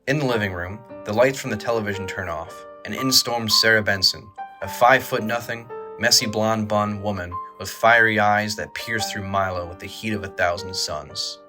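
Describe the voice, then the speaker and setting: A man's voice: on the low side, normal speed, hardly any pauses, over music. A man reading scripted dramatic narration for an audio drama.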